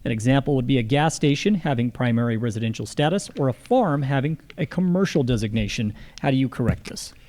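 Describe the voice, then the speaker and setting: A man's voice: mid-range voice, expressive, fast, hardly any pauses, darkish tone. A man with an American accent moderating a candidate forum and reading out questions.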